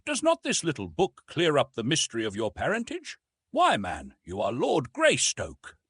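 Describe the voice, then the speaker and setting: Man voicing an animated, pleading French officer. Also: mid-range voice, normal speed, breathy edge, no room sound, clean studio sound, pitched above the performer's own voice, full character voice. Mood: eager, questioning. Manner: exclaiming, persuasive, animated. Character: lively, principled French naval officer urging restraint.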